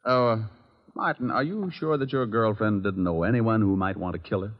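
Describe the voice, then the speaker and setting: A man's voice: lowish voice, normal speed, close to an everyday voice. An actor playing a cool, wisecracking male private detective in a 1940s radio drama, breezy and needling. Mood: curious, persuasive. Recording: muffled old radio recording.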